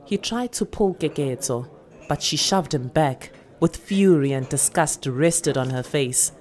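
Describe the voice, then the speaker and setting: A South African woman reading a literary short story with expressive, deliberate, dramatic delivery. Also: deep voice.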